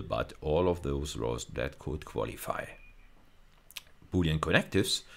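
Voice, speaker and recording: A man giving a technical university video lecture, explaining step by step and often repeating and rephrasing his phrases. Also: extremely low pitch.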